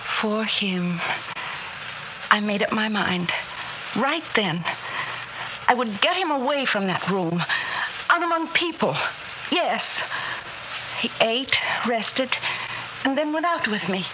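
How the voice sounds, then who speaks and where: Anxious, higher-voiced schoolteacher in old radio. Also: female voice, big pitch swings, slow pace, long pauses. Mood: tense recounting. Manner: earnest, solicitous, dramatic. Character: polite, anxious schoolteacher woman recounting an eerie story. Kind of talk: 1960s radio drama acting, dialogue and narration. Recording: noisy old radio drama recording.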